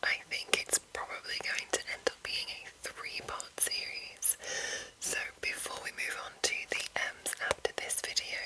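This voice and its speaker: A woman whispering gently in an unhurried ASMR style, with a breathy, unvoiced delivery. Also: rough recording. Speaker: host of a whispered fantasy reading series. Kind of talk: whispered ASMR commentary and read-aloud.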